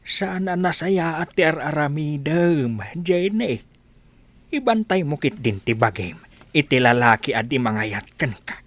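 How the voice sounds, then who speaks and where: A blunt older uncle from a village radio drama, voiced by a woman. The voice sits low and swings widely as he admonishes in a matter-of-fact manner. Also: expressive, unhurried. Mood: admonishing.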